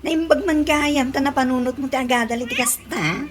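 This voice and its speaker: A woman's voice: high-pitched, moderate pace, natural voice. A woman with a Filipino accent playing an admiring, encouraging young cousin in a radio comedy drama. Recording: clear background noise.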